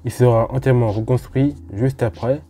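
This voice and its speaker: A man from France talks viewers through a history topic in a relaxed, explanatory way. His voice is deep-toned and very mellow, at an ordinary pitch, and he breaks off now and then for chatty asides. Faint music plays underneath. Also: normal speed. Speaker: host of a railway history channel. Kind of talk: casual explanatory narration.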